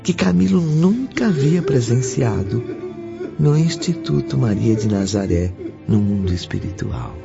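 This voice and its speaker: A man's voice: medium pitch, animated, unhurried, creaky. A man with a Brazilian accent reading scripted narration for a radio drama. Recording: dull lo-fi audio under loud music.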